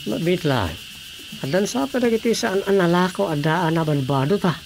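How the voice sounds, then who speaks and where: A male actor plays a practical village man in a radio drama, with an Ilocano accent. His voice is fairly high and his manner conversational and explanatory. Faint noise and insect ambience lie behind him. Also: expressive, moderate pace, gravelly. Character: practical man planning to sell household goods.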